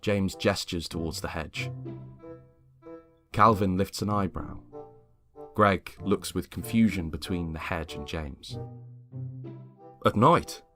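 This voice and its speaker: A man's voice: low-pitched, slow, long pauses, a bit bright, faint music behind. A man reading a scripted story aloud as a dramatic narrator. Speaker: story reader.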